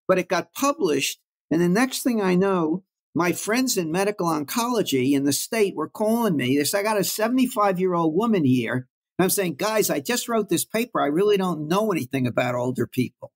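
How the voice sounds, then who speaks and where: An older man from Brooklyn, telling stories about his career in a warm, reflective way as an interview guest. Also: sits high, animated, brisk. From Brooklyn, New York. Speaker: guest oncologist. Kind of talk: storytelling in an interview.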